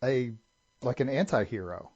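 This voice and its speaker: A man's voice: medium pitch, expressive, moderate pace, a little mellow, dry recording. A man chatting casually as a podcast co-host. He rambles, repeating words as he finds his way into each point. The audio is dull and lo-fi. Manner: rambling, repeats words when starting sentences. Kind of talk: casual movie discussion.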